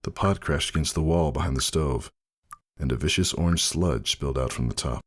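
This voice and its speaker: A man giving a dramatic first-person story reading as narrator, husky and brooding in manner. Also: rumbling low, a little fast. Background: faint music.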